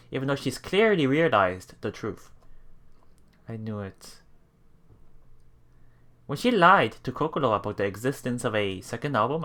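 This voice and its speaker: A man hosting a let's play, reading game text aloud with casual, halting reactions and repeated words. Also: medium pitch, sharp tone. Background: game music.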